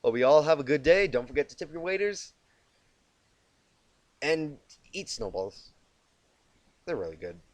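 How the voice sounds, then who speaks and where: A man narrating a story expressively as an amateur reader, informal and quick to correct himself. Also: fairly high pitch, sing-song, no room sound. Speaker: amateur fan fiction narrator.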